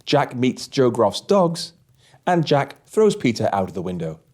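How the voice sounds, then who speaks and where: A man narrating a scripted story as an audiobook host, with measured, deliberate phrasing. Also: medium pitch, big pitch swings, darkish tone.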